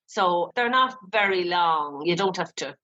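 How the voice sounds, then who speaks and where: A woman's voice: fairly low pitch, animated, unhurried, pushed and bright, lo-fi sound. An Irish-accented woman chatting casually as an interview guest, in a chatty, rambling manner. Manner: chatty, peppered with "you know". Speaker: guest, playwright.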